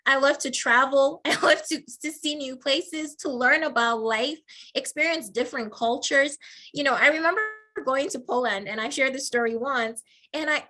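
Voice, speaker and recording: A woman with a Nigerian accent speaks over a video call. Her voice is bright and sits a little high. She tells lively stories and laughs often. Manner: warm storytelling with frequent laughs. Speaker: guest, faculty member.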